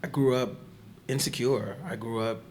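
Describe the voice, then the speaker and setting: A man from the Bronx, hoarse from losing his voice, telling stories in a casual, slangy conversation as a podcast guest. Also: medium pitch, normal speed, slight background noise. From the Bronx, New York. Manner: relaxed, slangy storytelling with many fillers.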